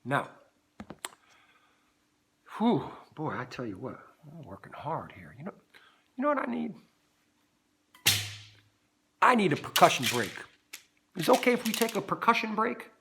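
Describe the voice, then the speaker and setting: Playful, animated man teaching kids music. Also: a little slow, vocal fry, long pauses, noisy background. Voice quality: low bass speaking voice. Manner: animated, silly, keeps checking in with "right?". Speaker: children's music educator.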